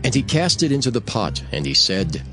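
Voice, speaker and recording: A man narrating dramatized scripture as a measured, solemn storyteller. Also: mid-range voice, big pitch swings, music in the background.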